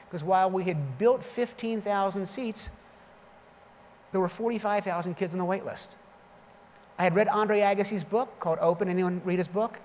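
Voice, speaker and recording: A man in his fifties giving a conference keynote from a lectern, telling punchy, self-deprecating stories. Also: sits high. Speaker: keynote speaker. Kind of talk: conference keynote with jokes and anecdotes. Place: large conference hall stage at a lectern. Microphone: lectern microphone. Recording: long hall reverb, faint background noise.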